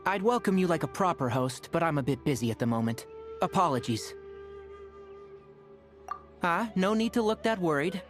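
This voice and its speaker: A gentle, attentive young male healer from a video game, played by an actor. He is polite and reassuring, with very lively intonation over faint music. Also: fairly high pitch, natural voice.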